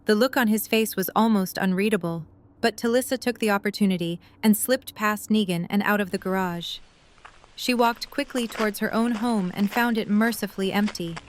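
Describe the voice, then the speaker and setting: A woman's voice: medium pitch, moderate pace. A woman reading scripted third-person story narration for an audio drama. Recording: faint background noise and ambience.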